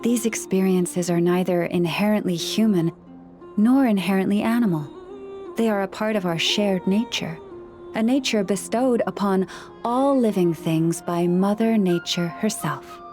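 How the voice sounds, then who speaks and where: A woman's voice: medium pitch, soft background music, close to an everyday voice. A woman playing a serene animal-speaking sage. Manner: calm, measured, softly reflective. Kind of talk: video game voice acting.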